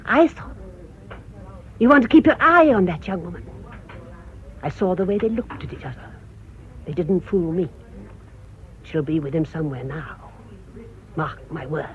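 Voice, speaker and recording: A woman's voice: on the low side, lively intonation, measured pace, long pauses. A woman playing a haughty British village spinster in a radio drama, imperious, emphatic and accusing. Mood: spiteful, insinuating. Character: indignant, haughty village spinster, proud of her lineage. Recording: muffled radio drama recording.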